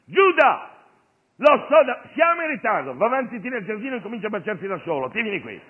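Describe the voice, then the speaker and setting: A man's voice: high-pitched, big pitch swings, fast talker, breathy edge, voice pushed up, heavily acted. A man playing an irreverent, streetwise Jesus, commanding and jocular in a colloquial comic stage monologue. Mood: excited, bossy. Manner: commanding, colloquial, mock-preaching. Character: irreverent streetwise Jesus, bossy, jocular master miracle-worker. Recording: phone-like radio broadcast of stage show.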